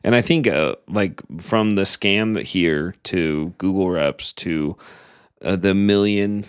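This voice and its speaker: A man's voice: sits low, slow, smooth. A man co-hosting a podcast, talking casually and colloquially about his work. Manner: colloquial, acts out imagined quotes. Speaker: podcast co-host and digital marketer.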